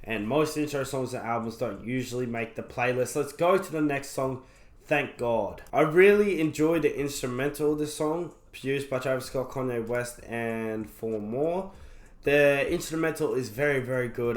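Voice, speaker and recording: Croaky-voiced man reviewing an album. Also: mid-range voice. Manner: rambling, candid, lots of fillers. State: croaky voice after illness. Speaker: music reviewer. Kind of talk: casual album reaction review. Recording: roomy echo.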